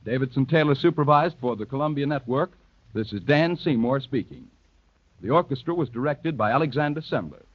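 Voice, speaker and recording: A man's voice: mid-range voice, expressive, brisk, no echo. An American man announcing formally and with careful enunciation as a network announcer on an old 1930s radio recording. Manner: formal, crisply enunciated proclamation. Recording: dull, dry vintage 1930s radio recording.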